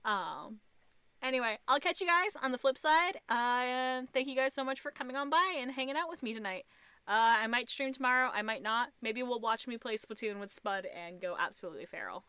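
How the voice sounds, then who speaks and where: A woman's voice: fairly high pitch, fairly quick, bright, forceful tone, smooth, dry recording. A woman with an American accent hosting a casual, goofy livestream in a playful, theatrical way. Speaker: streamer, host.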